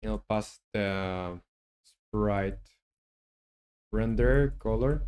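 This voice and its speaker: A man's voice: on the low side, drawn-out words, a little mellow, clean tone. A man who develops a game on a live stream, muses aloud while he codes and chats with viewers. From Chile.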